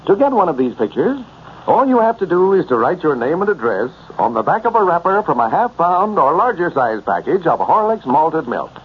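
A man's voice: a little high, very animated, a little fast, breathy edge. A man working as a radio announcer, delivering scripted copy and story introductions in 1930s style. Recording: muffled, noisy 1930s radio recording.

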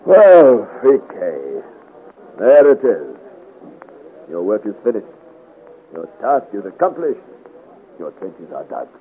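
A man's voice: on the low side, huge pitch swings, slow pace, pauses often, heavily acted. An actor playing a bold, frank young swordsman hero in a 1950s radio adventure serial, speaking in formal, declamatory period style. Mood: hearty. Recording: noisy, narrow-band vintage radio recording.

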